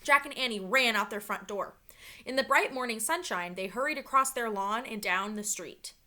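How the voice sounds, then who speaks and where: A woman reading a children's story aloud like a teacher, with dramatic, expressive storytelling. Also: fairly high pitch, normal speed.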